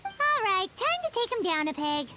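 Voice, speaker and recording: A woman voicing a small, cheeky, chirpy fairy companion in a video game, with a squeaky childlike voice. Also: big pitch swings, moderate pace, very noisy. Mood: cocky. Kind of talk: video game character dialogue. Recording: game music behind the voice.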